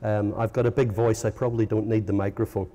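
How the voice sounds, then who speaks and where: Middle-aged Northern Irish lecturer, hesitant and halting, deep, in a big reverberant hall. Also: male voice, little pitch movement, dark tone. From Northern Ireland. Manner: hesitant, many ums and uhs, self-correcting. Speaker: philosophy professor giving a lecture. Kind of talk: informal conference lecture. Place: large conference hall. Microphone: lectern microphone.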